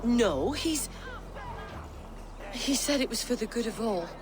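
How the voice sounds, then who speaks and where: An actress playing a pious, fiercely loyal and fanatical sister in a video game, speaking with dramatic, indignant fervour. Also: female voice, a little high, animated, slow, music in the background. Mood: anguished, defensive. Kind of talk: video game character acting.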